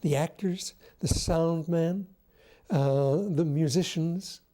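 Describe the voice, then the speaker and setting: An older man telling stories from memory in an interview, deliberate, with pauses between short phrases. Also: fairly high pitch, warm tone. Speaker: interviewee recalling radio drama history. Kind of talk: reflective storytelling.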